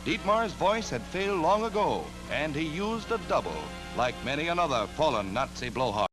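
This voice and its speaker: A man's voice: on the high side, big pitch swings, normal speed, rough recording. An American man narrating a scripted 1940s newsreel in a dramatic, declamatory manner, on an archival soundtrack. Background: loud orchestral newsreel music.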